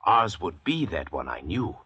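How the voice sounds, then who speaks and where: An actor playing a worldly, educated convict doctor and murderer in an old radio drama, urbane and deliberate, with a condescending manner. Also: male voice, deep voice, big pitch swings, sharp tone, a little hiss or hum, full character voice. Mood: self-satisfied. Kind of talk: 1940s radio drama acting. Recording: vintage radio broadcast.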